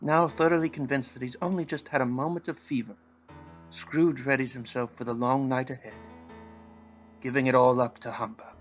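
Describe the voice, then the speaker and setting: A man's voice: mid-range voice, steady tone, normal speed, muffled recording, faint music behind. A man reading scripted narration as the storyteller of an audio play.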